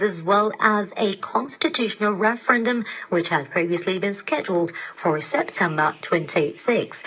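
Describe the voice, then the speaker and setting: A woman presenting scripted radio news in a formal, measured manner, heard over a digital shortwave broadcast. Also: on the low side, a bit bright, low-quality recording.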